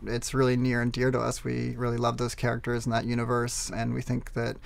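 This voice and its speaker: A man speaking as a game studio executive in an interview, halting as he thinks out his words. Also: medium pitch, monotone, vocal fry, dry recording. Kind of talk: interview talk.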